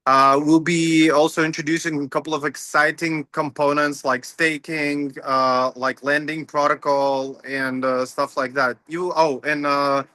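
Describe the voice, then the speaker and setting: A man talks over a video call, explaining things in an upbeat, slightly way. His voice sits a little high with a bright, forceful edge. The sound is dry and close. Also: level tone, measured pace, few pauses, no room sound. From Latvia. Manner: upbeat, explanatory, slightly non-native phrasing. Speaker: company founder, guest. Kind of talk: casual interview chat. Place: home, early morning.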